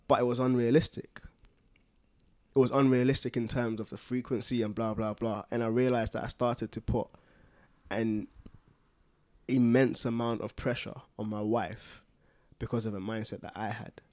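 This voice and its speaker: A man's voice: mid-range voice, little pitch movement, moderate pace, pauses often, darkish tone. A British man with a London accent co-hosting a casual podcast, talking in a slangy, colloquial way. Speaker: podcast co-host.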